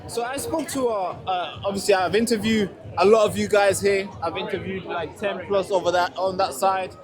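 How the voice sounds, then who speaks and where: A man's voice: sits high, fairly even intonation, normal speed, crowd noise. A man born in a refugee camp in Tanzania, interviewing people at an outdoor protest in a friendly, questioning way. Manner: friendly, questioning, with fillers and restarts. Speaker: street interviewer. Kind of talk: casual street interview.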